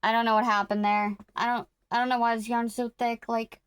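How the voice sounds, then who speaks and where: A woman vlogging casually about her crochet projects, rambling and correcting herself as she goes, her throat a little rough. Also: fairly high pitch, flat delivery, a little fast, no room sound. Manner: rambling, self-correcting, talks to viewers while working. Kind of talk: casual chatty vlog.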